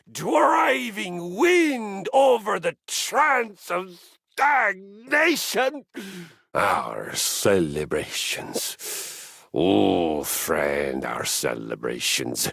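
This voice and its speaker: An actor voicing a grandiose, zealous treadmill personified in a video game, telling sagas in a pompous, theatrical way with deadpan asides. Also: male voice, on the high side, creaky, slightly rough, no room sound, studio quality, full character voice. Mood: triumphant, epic, then boastful, lustful. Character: zealous, grandiose treadmill deity, boastful lover of violence. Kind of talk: dramatic video game character monologue.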